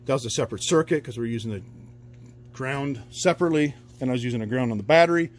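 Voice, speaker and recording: Guy mechanic talking thru a wiring fix, kinda rambly, uh's and i mean's, mid pitch. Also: fast. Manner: loose, rambling, with fillers. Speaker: auto mechanic. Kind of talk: casual repair walkthrough. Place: garage, under a truck.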